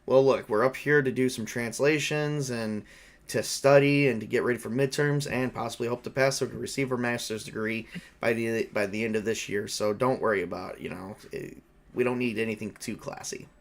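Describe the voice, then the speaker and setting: A man's voice: medium pitch, fairly even intonation, brisk, natural voice. A man improvising as a broke, defensive college teaching assistant in his thirties in a tabletop roleplay. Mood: matter-of-fact.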